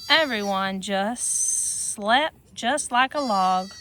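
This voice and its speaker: A woman reading a rhyming picture book aloud to children in an expressive sing-song manner, with chatty asides, outdoors on a farm with goats. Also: mid-range voice, drawn-out words, a little hiss or hum.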